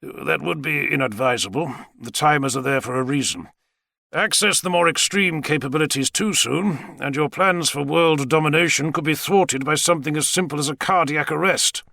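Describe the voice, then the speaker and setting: A man's voice: on the high side, moderate pace, gravelly, bright, forceful tone, no room sound, studio quality, pitched above the performer's own voice, heavily acted. A man voicing a brilliant, wry captive professor in an audiobook thriller, composed, sardonic and articulate. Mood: cool warning.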